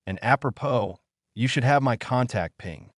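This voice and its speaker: A man playing a sinister, dwarfish villain in an audiobook, with a husky, broken growl and curt delivery. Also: medium pitch, normal speed, dry recording, clean studio sound, close to an everyday voice. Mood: cold, suspicious. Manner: curt, menacing. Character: sinister, snarling, dwarfish villain with sudden fury.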